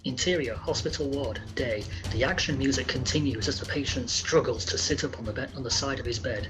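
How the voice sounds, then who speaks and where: Man narrating a script over clear music. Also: medium pitch, brisk. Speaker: script reader. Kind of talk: scripted narration, screenplay read-through.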